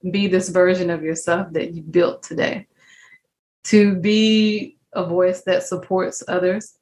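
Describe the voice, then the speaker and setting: A woman hosting an interview, speaking reflectively and smiling as she talks. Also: fairly low pitch. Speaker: host. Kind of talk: conversational interview.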